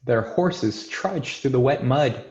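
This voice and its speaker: A man's voice: mid-range voice, expressive, slow, a little mellow, muffled recording. Male narrator in an echoey room. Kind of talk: scripted narration of screenplay action.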